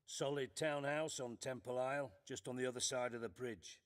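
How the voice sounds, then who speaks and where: A man's voice: medium pitch, fairly even intonation, normal speed, natural voice. An actor playing a scheming, sardonic male spymaster in a game cutscene, delivering dry, menacing lines.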